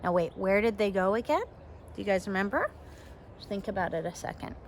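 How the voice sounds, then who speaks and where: Expressive woman teacher reading aloud, noisy recording. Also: mid-range voice, brisk. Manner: expressive, questioning the young listeners. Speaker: elementary school teacher. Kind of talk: storybook read-aloud for pupils with casual asides.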